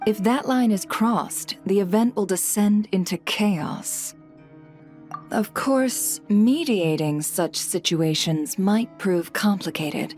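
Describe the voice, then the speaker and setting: An actress playing a poised, shrewd guild businesswoman in a video game, speaking in a measured, suavely formal way. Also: medium pitch, darkish tone, close to an everyday voice. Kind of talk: video game character acting, scripted dialogue. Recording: game music behind the voice.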